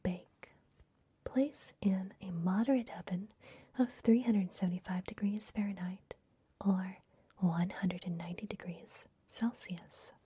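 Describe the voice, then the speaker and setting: A woman whispering gently and unhurriedly in a breathy voice, playing a kindly vampire librarian in an ASMR fantasy roleplay. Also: medium pitch, fairly even intonation, unhurried. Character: kindly vampire librarian hosting a human guest. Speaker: ASMR performer.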